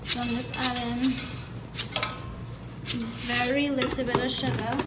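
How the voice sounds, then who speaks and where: A young Trinidadian woman with a fairly high voice talks casually through her cooking, as a vlogger does. She is recorded a little away from the microphone in a home kitchen, with clear noise behind her. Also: flat delivery, a little slow. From Trinidad and Tobago. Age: 20s. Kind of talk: casual cooking vlog narration. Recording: noisy kitchen, distant microphone.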